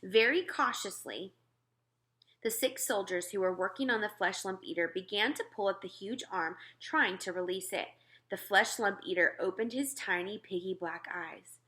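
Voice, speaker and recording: A woman narrating a children's storybook aloud expressively, with dramatic, deliberate emphasis. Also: medium pitch, bright, forceful tone.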